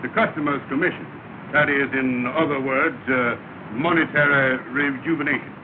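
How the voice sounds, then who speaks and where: An actor playing a smooth-talking, scheming lodge leader who uses fancy words in an old film comedy. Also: male voice, medium pitch, steady tone, normal speed, lightly acted. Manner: slick, long-winded, fancy words. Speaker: comic schemer in a 1930 film. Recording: scratchy, tinny, hissy 1930 film sound.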